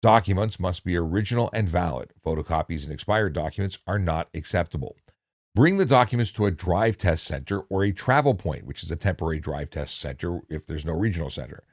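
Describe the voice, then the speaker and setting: Man, low-pitched voice, measured instructional narration, deliberate enunciation, casual asides, dry close recording. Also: expressive, no room sound. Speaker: narrator reading a handbook with commentary.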